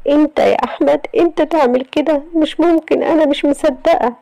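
A woman's voice: on the high side, fast, dark tone, no room sound, pitched above the performer's own voice, close to an everyday voice, poor audio. A shocked young woman, as a female storyteller voices her, firing anxious questions in a raised, insistent Egyptian voice. The sound is dry and close.

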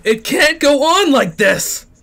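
A slow-spoken, manipulative young man acted out by a male performer in an anime-style ASMR roleplay. He is a possessive boyfriend with delusions of grandeur, deliberate and coldly controlling in every phrase. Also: very high-pitched, very animated, gravelly, a bit bright, pitched above the performer's own voice, heavily acted. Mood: grandiose, fervent.

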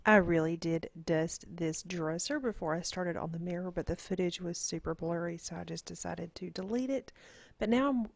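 A woman's voice: fairly low pitch, moderate pace, gravelly, faint music behind. A woman, chatting casually in a voice-over as she explains each cleaning step. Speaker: cleaning vlogger.

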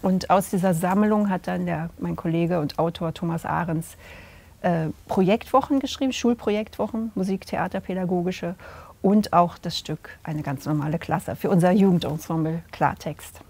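A middle-aged woman, a theatre director and drama teacher, answering interview questions in an animated way, often pausing to search for words. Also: lowish voice, vocal fry, husky. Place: indoor room with curtains.

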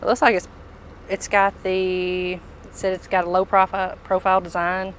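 A woman's voice: medium pitch, completely flat delivery, normal speed, slight background noise. A woman, casually showing products as a tool dealer inside a packed truck. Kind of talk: casual product walk-through chat.

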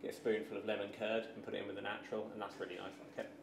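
A man, a dairy worker, casually explaining his work in an unhurried, modest, informal way. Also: on the low side, little pitch movement. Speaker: dairy skyr maker. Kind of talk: casual explanation while working. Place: small dairy production room, indoors. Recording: echoey room with clear machinery noise.

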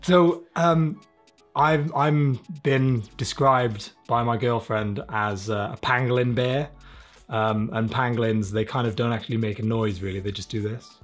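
Male interviewee, thirties, from South Wales. Informal, self-effacing, mid-pitched, with music underneath. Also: steady tone, a little slow. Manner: informal, self-deprecating, sweary. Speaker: interviewed illustrator. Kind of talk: casual interview chat. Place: small room, home studio indoors.

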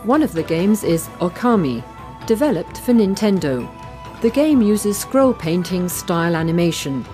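Mellow, lower-voiced woman narrating a report. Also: faint music behind. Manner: measured, even reading. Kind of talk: scripted news narration.

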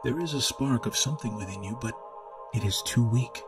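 A man voicing a kindly yet sinister high priest in a storybook reading, speaking softly and in a measured, coaxing way with a low, smooth voice. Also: over music, lightly acted. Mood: persuasive. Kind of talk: storybook narration.

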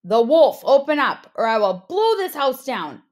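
A woman voicing a hungry, menacing male wolf with a loud, demanding manner in a children's storybook read-aloud. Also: sits high, big pitch swings, measured pace. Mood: loud, threatening.

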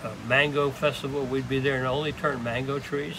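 An older man demonstrating woodworking in an open workshop, talking in a halting, rambling way full of restarts. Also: mid-range voice, flat delivery, noisy background. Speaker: woodturner demonstrating. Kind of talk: casual hands-on demonstration.

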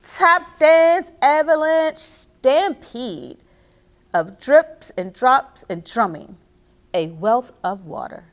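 Slow-paced woman hosting children's story time. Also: high-pitched. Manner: expressive, addresses young listeners directly. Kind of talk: children's read-aloud and instruction. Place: small indoor reading nook with bookshelves.

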